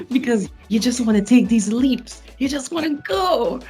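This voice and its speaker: A man's voice: very high-pitched, animated, slow, slightly rough, soft background music. A Trinidadian man in his late twenties, a stage actor and singer, reflecting on his experiences in a casual interview and laughing often between phrases. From Trinidad and Tobago. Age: late 20s.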